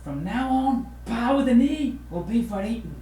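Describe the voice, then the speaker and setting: A man's voice: very high-pitched, lively intonation, normal speed, mellow, very echoey, voice pushed up. A man voicing a hearty, grateful noble father in a children's storybook, in a declamatory manner. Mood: proclaiming.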